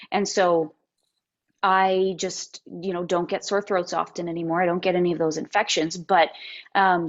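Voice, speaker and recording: A woman in her thirties with a slightly raspy voice, telling her story as an interview guest, animated and with halting restarts. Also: fairly low pitch. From Canada and the United States. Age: 30s. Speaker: interview guest, singer-songwriter. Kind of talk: candid interview conversation. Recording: dull lo-fi audio.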